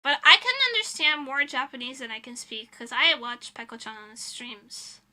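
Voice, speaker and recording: A woman's voice: high voice, normal speed, sharp tone. A young woman streaming as a VTuber, chatting casually with her viewers in a rambling, giggly way.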